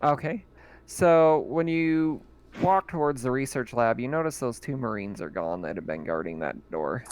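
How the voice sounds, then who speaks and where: A man running a tabletop game as game master, easygoing and quick to laugh. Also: medium pitch, expressive. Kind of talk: casual tabletop game chat.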